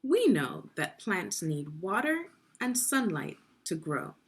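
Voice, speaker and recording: A woman's voice: on the low side, big pitch swings, slow pace, breathy edge. A woman leading a guided read-aloud of a children's science book, teaching and prompting young listeners with questions.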